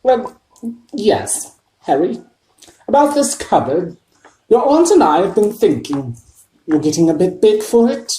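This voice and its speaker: A woman voicing a blustering, bad-tempered middle-aged uncle in a storybook reading, gruff and barking. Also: fairly low pitch, sing-song, takes it slowly, a little mellow, slight background noise, pitched above the performer's own voice. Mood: forced friendly. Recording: echoey room.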